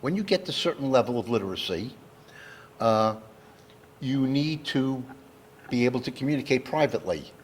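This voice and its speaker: A man giving an educational public lecture, explaining terms in a deliberate, step-by-step way. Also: medium pitch, animated, slight background noise. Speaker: lecturer, engineer. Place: talk given live to an audience.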